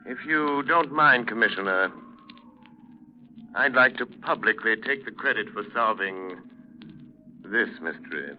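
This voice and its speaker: An actor playing a mysterious, commanding male crime-fighter in 1930s radio drama, speaking formally and deliberately. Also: fairly low pitch, animated, soft background music. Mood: calm, commanding. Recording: muffled, noisy vintage radio recording.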